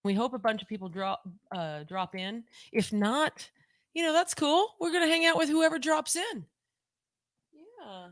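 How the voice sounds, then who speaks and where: A woman co-hosting a casual home livestream podcast on a desk mic, talking in a rambling, chatty way and repeating herself, her voice lower than usual today. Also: expressive, unhurried, no room sound, studio quality. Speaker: podcast co-host.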